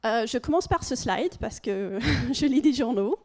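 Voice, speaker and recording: A woman with an English-speaking accent giving a conference presentation in French as a second language, pausing often with fillers and restarting phrases. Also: on the high side, lively intonation, a little mellow. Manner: hesitant, frequent fillers and restarts.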